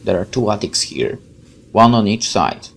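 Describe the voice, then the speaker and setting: Let's play commentator, lively swinging pitch, expressive, reads game text aloud, exclaims and questions. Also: normal speed, creaky. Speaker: gaming YouTuber.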